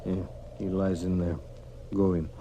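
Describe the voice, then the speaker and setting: Stern proud chief in old radio western, clipped formal broken english, low voice, bit noisy recording. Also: male voice, flat delivery, measured pace, dull audio. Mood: grave, insistent.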